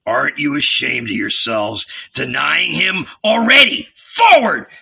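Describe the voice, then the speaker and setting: A man's voice: fairly high pitch, sing-song, unhurried, creaky, sharp tone, husky, recorded from a distance, muffled recording, heavily acted. A man voicing a fierce, defiant red-bearded rebel who barks commands in an audiobook. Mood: gruffly commanding. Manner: barking orders, commanding.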